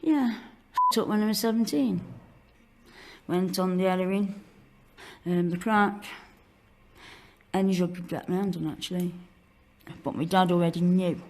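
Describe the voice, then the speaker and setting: A woman's voice: on the low side, a little slow, pauses often, slight background noise. A woman giving candid, informal testimony in a blunt, colloquial way. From Newcastle-under-Lyme, England. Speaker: interviewee telling own story of homelessness.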